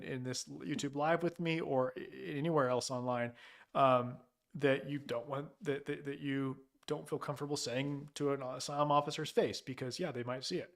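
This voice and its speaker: A man's voice: fairly high pitch, a bit bright. A man hosting a casual live Q&A as an immigration lawyer, talking loosely with many fillers and restarts. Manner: loose and conversational, many fillers and restarts, reads out viewer questions.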